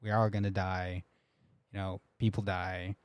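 Casting: young male podcast host, low voice, rambling and laughing, dry close mic. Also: level tone, normal speed, smooth, no room sound. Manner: rambling, laughing, many restarts. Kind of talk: casual storytelling chat.

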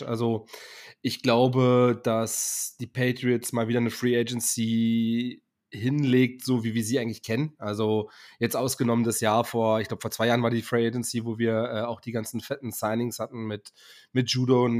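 A young man co-hosts a casual podcast while a bit under the weather, his voice sitting in an ordinary middle range. He speaks hesitantly, hedging his points and filling pauses with ums and ahs. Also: mid-range voice. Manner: hesitant, hedging, many fillers. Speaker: podcast co-host.

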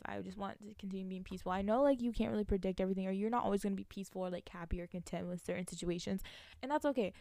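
A woman in her late teens with a soft-edged, mellow voice at an average pitch. She rambles casually to her viewers, giggly and slangy, thinking out loud. The sound is close and dry, with no room echo. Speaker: vlogger, college student. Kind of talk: casual solo chat to viewers.

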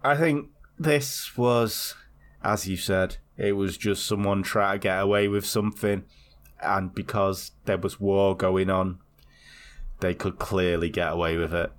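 Podcast co-host, male, lowish voice, loose, rambling, sweary storyteller. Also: steady tone, unhurried. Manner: rambling and swearing, with many restarts. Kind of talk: casual chat.

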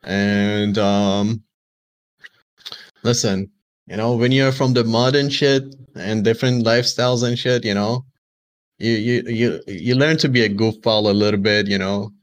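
A man's voice: lowish voice, fairly even intonation, measured pace. A man guesting on a streamer podcast, talking in a laid-back, slangy way. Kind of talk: casual chat.

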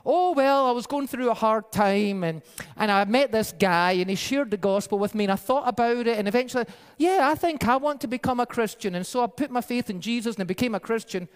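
A male pastor preaches with a high voice, telling stories in a conversational way. His pace speeds up and slows down. The sound rings with long reverb, as in a large church hall. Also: clear, resonant tone. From Peru-born, raised in the UK by Scottish parents. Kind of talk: sermon.

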